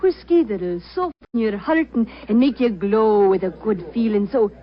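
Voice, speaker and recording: An actress playing an effusive, flattering Irish barmaid with a colourful lilt, in 1940s radio drama style. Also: female voice, medium pitch, moderate pace, natural voice. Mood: flattering, rhapsodic. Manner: colourful, lilting, deferential. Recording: muffled vintage 1940s radio broadcast.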